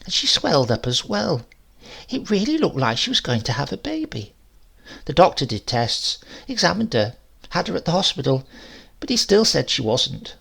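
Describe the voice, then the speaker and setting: A man's voice: a little high, very animated, moderate pace, vocal fry, a bit bright, husky, heavily acted. A man voicing a chatty, defensive landlady of nearly seventy in a horror story reading, rambling and confiding in colloquial phrases. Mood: gossipy, insistent. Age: older.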